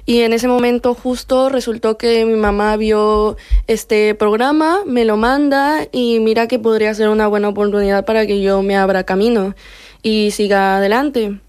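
A woman's voice: fairly high pitch, steady tone, moderate pace, darkish tone, smooth. A nineteen-year-old woman with a Mexican accent, talking casually about herself as an interview guest. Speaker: interview guest, youth program participant. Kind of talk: casual personal account in an interview.

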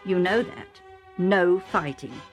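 A woman voicing an old, nearly blind male chieftain who speaks slowly, calmly and firmly. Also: fairly low pitch, faint music behind. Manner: slow, calm, firm.

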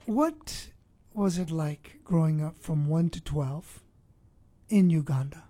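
A woman hosts an interview, asking her guest probing follow-up questions. Her voice is mellow and slightly low. The recording is dry and close. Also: takes it slowly, no room sound. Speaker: host.

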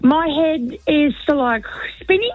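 A woman's voice: high-pitched, lively intonation, slow, no echo. A middle-aged woman with an Australian accent, chatting casually as a caller to a radio phone-in over a tinny phone line. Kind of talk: casual personal chat.

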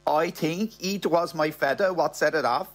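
A brazen, scheming woman acted out by a male narrator. The voice is pitched up and matter-of-fact, breezily sure of itself, as in a comic audiobook. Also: animated, brisk, breathy edge. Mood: feigned innocence. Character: brazen, scheming working-class woman, sweet-talking shoplifter.

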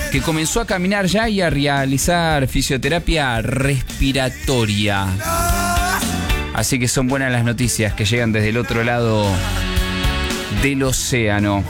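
An Argentine Spanish-accented man hosts morning radio, running briskly through headlines and weather. Guitar music plays clearly under his voice throughout. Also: mid-range voice. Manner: brisk, conversational news reading. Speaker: radio morning show host. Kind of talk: radio news and chat. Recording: radio broadcast over music bed.

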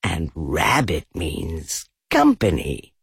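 A man voicing a slow, simple, honey-loving male bear who hums to himself dreamily. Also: a bass voice, wildly swooping pitch, vocal fry, breathy edge, dry recording, studio quality, voice pushed down, full character voice. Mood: humming.

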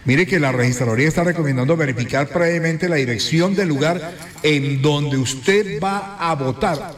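Male morning radio host, chatty and animated, a bit higher voice, echoey studio.

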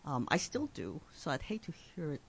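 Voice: medium pitch, wildly swooping pitch, moderate pace, vocal fry. Writer on a panel, playful, laughs a lot, faint room noise behind. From outside the US. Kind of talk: conversational panel talk.

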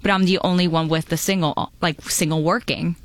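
A young woman whose first language is Romanian, chatting casually on a radio show with small pauses and easy laughs. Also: fairly low pitch. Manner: relaxed, laughs easily, halting. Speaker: radio promotions assistant and co-host. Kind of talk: casual on-air chat.

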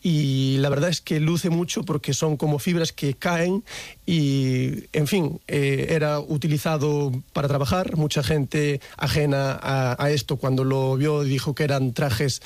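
A man's voice: a little high, steady tone, measured pace, a little mellow, no room sound. A young man from Galicia, a guest explaining his craft videos in a radio interview, thoughtful and hesitant with many fillers. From Galicia, Spain. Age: young adult.

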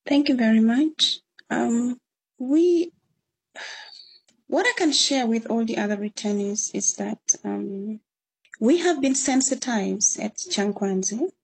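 A woman's voice: on the high side, lively intonation, takes it slowly, gravelly, slightly rough, poor audio. A woman from northern Uganda with a Ugandan accent, answering interview questions in an earnest, encouraging way. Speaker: returnee guest.

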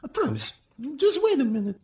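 A man's voice: wildly swooping pitch, a little fast, warm tone, a little hiss or hum, exaggerated voice. A man voicing a sniveling, fawning male secret police chief in a read-aloud story. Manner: sniveling, pleading, high and whiny.

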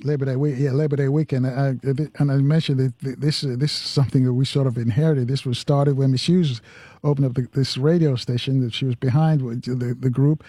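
A man hosting a live radio talk show, speaking in a halting way and repeating words as he goes. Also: mid-range voice, fairly even intonation, dark tone, slightly rough, no room sound. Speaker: radio host. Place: radio studio.

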